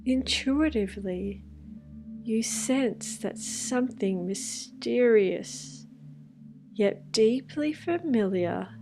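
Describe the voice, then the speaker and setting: A woman guiding a scripted meditation in a hushed, soothing manner, leaving long pauses between phrases. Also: on the high side. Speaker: meditation guide. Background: music with singing bowls.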